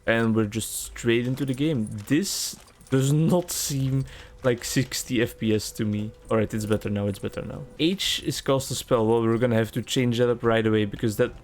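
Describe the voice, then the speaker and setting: A man's voice: medium pitch, moderate pace. A young adult man, a native Dutch speaker, chatting casually and rambling over his gameplay for a video. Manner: chatty, rambling, self-aware. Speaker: gaming video creator.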